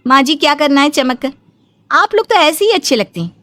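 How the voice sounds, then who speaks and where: A woman's voice: high-pitched, lively intonation, brisk, fairly bright tone. A woman voicing a blunt, bossy young daughter-in-law in a comic family story. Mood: blunt, defensive. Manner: sassy, blunt.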